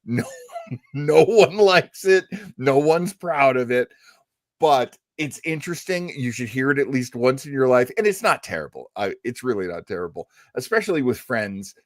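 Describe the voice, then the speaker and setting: A man hosting a radio show in casual chat, talking in a rambling, halting way. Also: mid-range voice, lively intonation, no room sound, clean studio sound. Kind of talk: casual chat introducing old radio shows.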